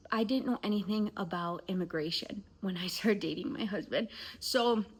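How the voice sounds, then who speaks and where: A young woman from the United States, a family vlogger, chatting casually to camera and rambling through a story in quick runs with soft, hushed stretches. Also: mid-range voice. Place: home indoors, then an outdoor house build site.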